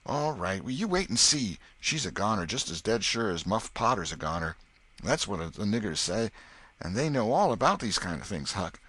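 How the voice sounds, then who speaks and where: A man voicing an excitable young boy, bold yet superstitious, whispering urgently in rustic dialect. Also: fairly low pitch, moderate pace, husky, no room sound, pitched above the performer's own voice, heavily acted.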